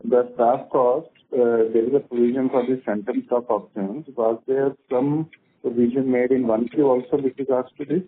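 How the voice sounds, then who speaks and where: Husky male analyst on phone. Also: medium pitch, steady tone, moderate pace. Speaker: analyst caller. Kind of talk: asking questions on an earnings call. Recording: narrow tinny phone line.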